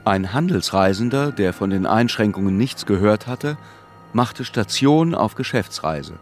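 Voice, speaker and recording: A man's voice: lowish voice, expressive, unhurried, soft background music. A man narrating a bleak literary radio drama in a somber manner.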